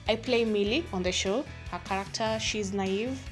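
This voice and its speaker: A young Kenyan woman gives an interview as a student actress. Her voice is mid-pitched, with clear music behind it. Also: normal speed. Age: young adult.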